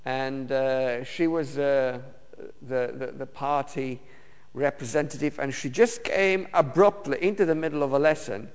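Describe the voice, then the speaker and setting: A man's voice: medium pitch, clear, resonant tone. Man preaching in a reverberant hall. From Romania. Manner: halting, many fillers and restarts. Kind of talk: personal testimony sermon.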